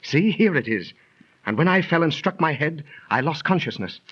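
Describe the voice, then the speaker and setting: Husky ancient warrior, earnest and theatrical, old muffled 30s radio sound. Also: male voice, on the high side, lively intonation, moderate pace. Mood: awed, solemn. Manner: theatrical, archaic phrasing. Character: ancient Teutonic warrior, earnest, awed battle survivor. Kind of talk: 1930s radio drama acting. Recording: muffled, lo-fi 1930s radio recording.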